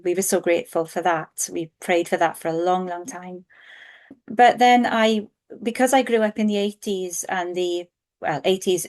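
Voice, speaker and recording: A woman's voice: on the low side, a little mellow. A Welsh woman in her forties, an author, answering interview questions in an earnest, thoughtful way with frequent ums. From Wales. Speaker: author guest.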